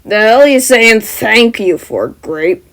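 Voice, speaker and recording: A woman putting on a loud, sneering teenage boy with a short fuse, barking his lines harshly in a storybook reading. The voice pushes forward with force rather than pitch. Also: expressive, takes it slowly, full character voice. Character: brash teen boy, sneering and aggressive.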